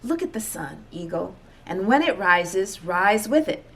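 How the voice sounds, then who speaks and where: A man's voice: high-pitched, very animated, measured pace, gravelly, a bit bright, husky, full character voice. A woman voicing an eager, insistent man in a children's storybook, urging in an earnest way. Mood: earnest, hushed. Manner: earnest, exhortative.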